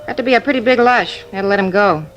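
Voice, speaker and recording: Woman acting chatty streetwise waitress, brassy and blunt, mid-pitched, vintage radio drama. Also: quick pace, fairly bright tone, muffled recording, faint music behind, close to an everyday voice.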